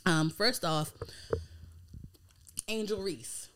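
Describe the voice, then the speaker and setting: A woman's voice: fairly low pitch, extremely slow, a bit bright, a little hiss or hum. An American woman of thirty hosting a casual podcast, chatting informally with slang and free swearing. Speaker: podcast host.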